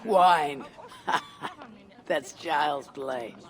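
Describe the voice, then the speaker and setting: A woman's voice: low-pitched, lively intonation, drawn-out words, full character voice. An actress playing a confident, teasing young Viking raider woman in video game dialogue. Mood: boastful, teasing.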